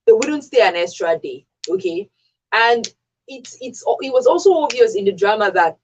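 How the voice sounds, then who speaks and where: Husky Nigerian woman debating on radio. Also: medium pitch, darkish tone, dull audio. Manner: emphatic, repeats herself, poses rhetorical questions. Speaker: radio discussion panelist. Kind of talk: opinionated conversational discussion.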